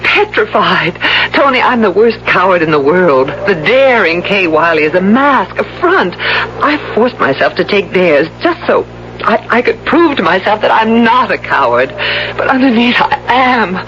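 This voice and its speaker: A woman's voice: medium pitch, sing-song, unhurried, a bit bright, faint music behind. A woman acting a frightened young wife who hides cowardice behind a daring front, in a 1970s radio drama. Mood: pleading, terrified. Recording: muffled, noisy old radio recording.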